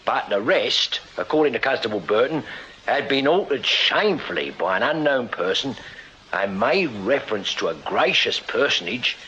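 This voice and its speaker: Bright-voiced, dutiful London police constable. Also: male voice, mid-range voice, very animated, normal speed. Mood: reading reports haltingly. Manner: deferential, hesitant when reading aloud. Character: dutiful, plain-spoken London police constable, slightly bumbling. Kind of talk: British period radio drama acting. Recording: slightly dull, hissy radio-drama recording.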